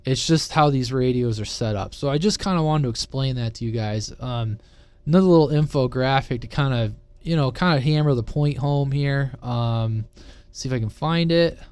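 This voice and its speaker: A man with an average-pitched voice presents an informal technical explainer. He talks off the cuff in a conversational way and checks in with listeners as he goes. The sound is dry, with very little room echo. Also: normal speed, a little mellow, clean tone. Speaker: presenter of a CB radio explainer channel. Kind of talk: casual, unscripted technical explainer.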